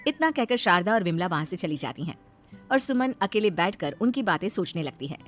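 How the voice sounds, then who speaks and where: A woman's voice: fairly low pitch, very animated, brisk, faint music behind. A woman reading scripted fairy tale narration as the storyteller.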